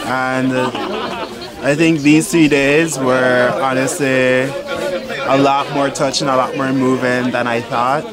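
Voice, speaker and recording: A man's voice: medium pitch, little pitch movement, slow. A man with a Jamaican accent giving a volunteer's interview testimonial. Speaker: student volunteer. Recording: outdoors amid loud crowd.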